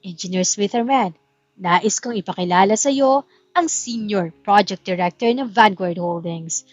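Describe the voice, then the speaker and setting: A woman's voice: mid-range voice, a little slow, no echo, highs cut off, faint music behind, close to an everyday voice. A woman voicing a formal master of ceremonies making introductions in an audio drama. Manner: formal, announcing.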